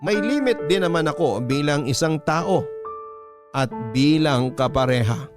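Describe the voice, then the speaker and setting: A man's voice: fairly high pitch, expressive, unhurried, over music. A man narrating a listener's letter in a dramatic radio storytelling style.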